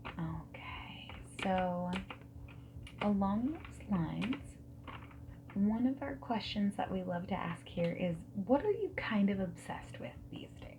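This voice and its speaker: A woman playing a friendly dating service interviewer in a soft-spoken ASMR roleplay, breathy and often slipping into a whisper, gentle and reassuring. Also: medium pitch, sing-song, lo-fi sound. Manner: gentle, unhurried, reassuring, asking questions. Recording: background noise with keyboard typing and clicks.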